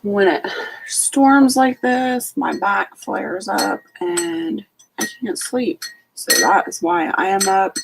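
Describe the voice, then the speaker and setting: A woman's voice: lowish voice, vocal fry, slight background noise, rough recording. A sleepy woman hosting a late-night casual live stream from home, greeting each viewer by name. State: sleepy, just out of bed. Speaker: homestead channel host.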